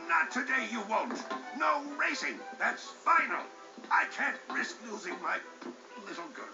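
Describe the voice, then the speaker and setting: A man's voice: extremely high pitch, sing-song, unhurried, exaggerated voice. A man playing a stern, overprotective old father in a video game, flustered and insistent. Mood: firm, protective. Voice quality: creaky. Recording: dull audio under music.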